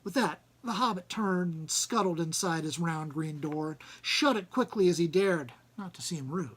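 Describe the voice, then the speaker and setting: A man with a husky, breathy-edged voice acts as reader and host. His delivery suits storybook narration and informal commentary alike. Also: on the high side, normal speed, fairly bright tone.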